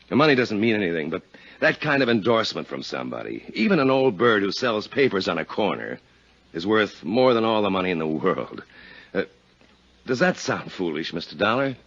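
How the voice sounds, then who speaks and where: An American man acting an earnest, plain-spoken insurance claims manager with a soft heart in a 1950s radio drama. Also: on the low side, full character voice. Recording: muffled vintage 1950s radio recording.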